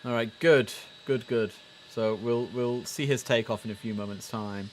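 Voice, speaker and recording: A man's voice: medium pitch, moderate pace. A man hosting a casual game livestream, chatting away and slipping into clipped air traffic radio phrasing. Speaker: livestream host. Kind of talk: casual game streaming commentary. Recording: steady background noise.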